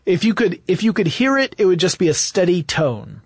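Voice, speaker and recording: A man's voice: high voice, sing-song, fairly quick, dry recording, clean studio sound. A man hosting a podcast, explaining technology history in conversation with enthusiasm and hypothetical examples. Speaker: podcast host.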